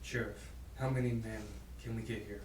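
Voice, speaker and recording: Guy acting curt monotone police boss, demanding, audio drama, echoey. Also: lowish voice, moderate pace, lightly acted. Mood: curt, pressured. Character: pressured male law boss demanding more manpower. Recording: echoey, distant mic with hiss.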